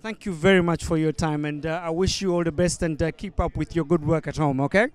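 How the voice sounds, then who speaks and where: A man interviewing people at an event, halting with frequent fillers and restarts. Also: fairly high pitch, steady tone, smooth. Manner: hesitant, many ums and restarts.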